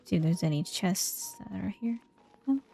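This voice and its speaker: A woman's voice: mid-range voice, lively intonation, normal speed, vocal fry, mellow, soft background music. A woman giving casual, reactive game commentary in short murmured phrases to herself, at times reading text aloud. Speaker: game streamer.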